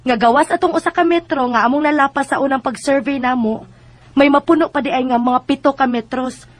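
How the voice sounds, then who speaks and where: A woman acting a high-pitched, brazen Visayan neighbour. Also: fairly quick, no room sound, natural voice. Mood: self-assured. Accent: Visayan Filipino. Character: brazen, defiant well-off woman landowner from next door. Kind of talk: Bisaya radio drama acting.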